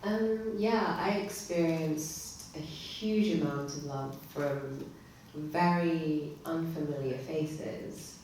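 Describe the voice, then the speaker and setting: A woman from England speaking on a panel about her own life, measured and thoughtful with frequent fillers. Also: sits low, darkish tone. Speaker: panelist, care leaver. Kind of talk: personal testimony on a panel. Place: conference hall. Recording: echoey hall, far from mic, faint noise.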